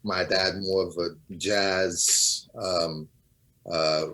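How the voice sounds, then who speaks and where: Low-voiced middle-aged man from Portugal. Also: steady tone, drawn-out words, bright, forceful tone. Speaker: interview guest, DJ. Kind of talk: reflective interview answers.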